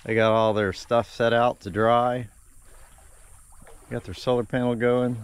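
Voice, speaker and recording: A man's voice: medium pitch, level tone, unhurried, smooth. Laid-back man narrating an outdoor trip. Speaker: vlogger on a sailing trip. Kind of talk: casual narration to camera. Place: outdoors on a coastal bay camp.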